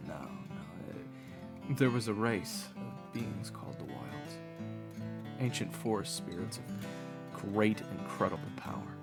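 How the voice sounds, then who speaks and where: A man playing a wistful, earnest cook who tells old legends in a hushed, halting, reverent way for an audio roleplay. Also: lowish voice, very animated, gravelly, exaggerated voice. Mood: earnest, mysterious. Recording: music behind the voice.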